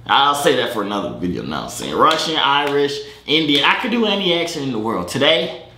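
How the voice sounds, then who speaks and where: A young man hosting a comedy video, sliding between a loud, high-pitched mock British accent and a low, casual drawl. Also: very animated, fairly quick, echoey room, slight background noise. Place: bedroom studio, indoors.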